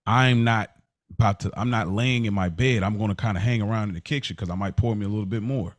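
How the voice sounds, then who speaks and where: A man's voice: fairly low pitch, fast talker, dry recording. A man co-hosting a podcast, talking candidly and reflectively in a casual, slangy way. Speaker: podcast co-host.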